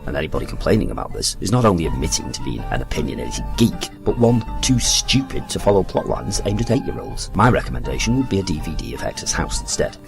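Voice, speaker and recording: Very deep gravelly sardonic narrator. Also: male voice, very animated, quick pace, hardly any pauses, music in the background. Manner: dry, sardonic.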